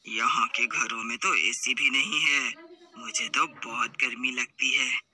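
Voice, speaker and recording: A man voicing a haughty, spoiled city witch with a slow, condescending drawl in a horror storybook tale. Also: mid-range voice, pushed and bright, pitched below the performer's own voice. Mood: complaining, dismissive.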